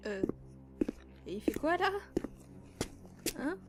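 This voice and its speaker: A woman's voice: high voice, big pitch swings, takes it slowly, heavily acted. A woman playing a young séance leader, by turns hesitant, wry and mock-ceremonial, in a comedic fan audio drama. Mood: hesitant, baffled. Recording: music behind the voice.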